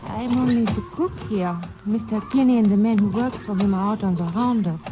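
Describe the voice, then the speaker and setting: Actress as older ranch cook, guarded, old-time radio, noisy lo-fi. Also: female voice, mid-range voice, fairly quick, close to an everyday voice. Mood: wary. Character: old woman ranch cook, wary and protective. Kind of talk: 1950s radio drama acting. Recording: lo-fi old radio recording with hiss.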